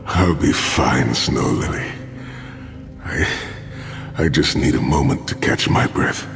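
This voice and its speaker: A man's voice: a bass voice, slow, gravelly, long pauses, fairly bright tone, full character voice. An actor playing a grave, stoic warrior in a fantasy game, solemn and formal in his wording. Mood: pained, weary. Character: weary, stoic warrior haunted by torture, resolute and grave. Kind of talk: fantasy video game acting. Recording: long reverb, music behind the voice.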